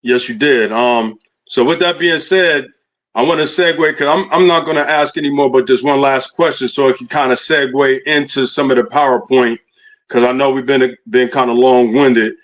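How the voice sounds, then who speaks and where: Host man, mid-pitched voice, casual and hesitant, rambling with fillers. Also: steady tone, moderate pace, fairly bright tone, rough recording. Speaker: livestream host. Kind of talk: casual interview questions.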